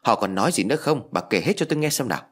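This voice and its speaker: A man's voice: medium pitch, expressive, racing, fairly bright tone, husky. A man voicing a hot-tempered, foul-mouthed old village wife who scolds and laments with raw emotion in an audio story. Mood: angry, brusque.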